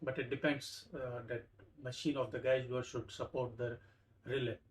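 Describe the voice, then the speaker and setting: A man's voice: mid-range voice, flat delivery, moderate pace. A man hosting a tech review, explaining an unboxing step by step in simple, repetitive phrases. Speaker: tech review channel host.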